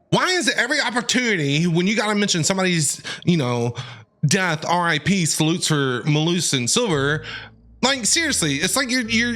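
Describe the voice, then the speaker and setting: A woman's voice: on the low side, a bit bright. A woman streamer doing casual, playful live game commentary, talking back to the characters.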